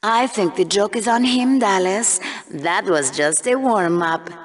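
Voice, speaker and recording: Woman playing a slow, sardonic lady commentator. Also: medium pitch, close to an everyday voice. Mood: taunting. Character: sardonic, gleefully cruel female TV co-anchor. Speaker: arena co-commentator. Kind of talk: theatrical video game commentary.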